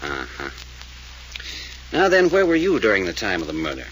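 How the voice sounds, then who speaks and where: An actor playing a commanding, practical amateur detective in an old-time radio mystery serial, clipped, businesslike and insistent. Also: male voice, low voice, wildly swooping pitch, normal speed, a bit bright, a little hiss or hum, exaggerated voice, low-quality recording. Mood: pressing, firm.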